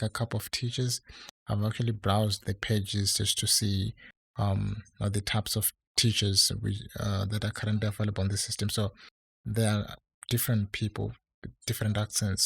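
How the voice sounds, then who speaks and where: A man with a husky, gravelly voice talks casually to his viewers, pitched on the low side. He rambles and restarts often, leaning on fillers, with an English accent that is not American. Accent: non-American English. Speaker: online English tutor. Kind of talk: casual talk to camera.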